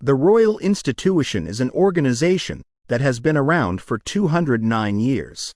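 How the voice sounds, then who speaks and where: A man narrates short study passages in a measured, deliberate way. His intonation moves freely up and down across each phrase. The sound is dry and close, with no room around it. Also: mid-range voice, very animated, darkish tone, no room sound. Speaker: narrator of English test practice passages. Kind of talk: scripted read-aloud narration.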